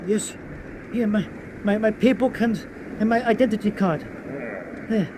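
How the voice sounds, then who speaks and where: Fearful, high-voiced foreign seaman. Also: fairly even intonation, noisy background. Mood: nervous, evasive. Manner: halting, stammering, polite. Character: fearful, evasive foreign seaman claiming to be Dutch. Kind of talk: radio drama acting.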